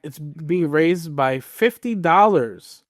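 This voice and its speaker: A man with a slightly high voice presents gaming news as a podcast host. He talks casually and leans on words for emphasis. The recording is dry and close. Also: animated, takes it slowly, dry recording.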